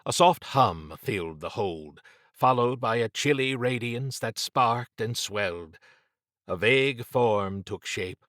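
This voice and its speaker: A man reads suspense fiction as an audiobook narrator. His pace is slow and deliberate, his pitch sits in the middle, and the close studio recording is very dry. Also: expressive, fairly bright tone, no room sound. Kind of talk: scripted dramatic fiction narration.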